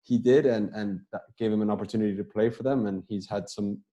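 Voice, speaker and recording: A young man talks over a video call in a low, mellow voice. He answers at a relaxed, rambling pace and doubles up his words as he thinks. He sounds like an athlete chatting easily about his career. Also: quick pace, lo-fi sound. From United States. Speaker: guest soccer player.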